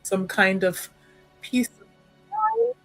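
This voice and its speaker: A woman playing a dutiful young noblewoman in a tabletop game, speaking formally and with measured, dryly wry wording. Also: medium pitch, wildly swooping pitch, a bit bright, soft background music, exaggerated voice, poor audio. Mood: formal, guarded.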